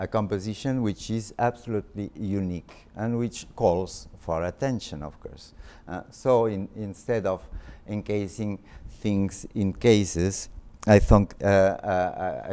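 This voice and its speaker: French-accented man giving a halting lecture. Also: low voice, warm tone. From Spain. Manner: halting, many fillers and restarts. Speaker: visiting art history professor. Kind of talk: academic lecture. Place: lecture hall.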